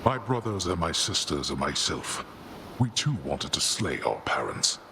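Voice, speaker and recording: An actor playing a stern, bitter old god of the dead and father in a video game, speaking gravely and haltingly with a commanding manner. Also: low voice, very animated, bright, forceful tone, a little hiss or hum, full character voice.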